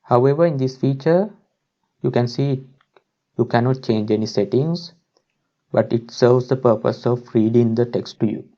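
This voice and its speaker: A man presenting a step-by-step software tutorial as a screen-recording voice-over. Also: medium pitch, normal speed, highs cut off. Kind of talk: instructional screen-recording narration.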